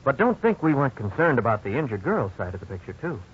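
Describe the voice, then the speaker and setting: An actor playing a worried young husband, frank and resigned, in a 1950s radio drama. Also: male voice, mid-range voice, big pitch swings, quick pace, lightly acted. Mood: rueful. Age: young adult. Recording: dull, hissy 1950s radio recording.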